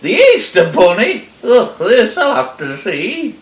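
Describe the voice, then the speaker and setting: A woman's voice: medium pitch, wildly swooping pitch, slow, echoey room, distant mic, pitched above the performer's own voice. A woman voicing a feisty, suspicious old granny in a storybook tale, with blunt British phrasing.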